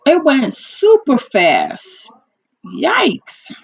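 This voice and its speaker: Hoarse, halting woman chatting slowly. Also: high-pitched, wildly swooping pitch, no echo. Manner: halting, many fillers and restarts. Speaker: chat partner. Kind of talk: casual one-on-one chat.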